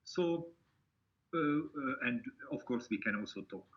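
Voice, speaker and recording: A man's voice: medium pitch, lively intonation, measured pace, fairly bright tone. A man from Hungary with a Hungarian accent, giving an online seminar presentation with frequent hesitant fillers over a video call. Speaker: guest speaker, lecturer. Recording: dull lo-fi video call audio.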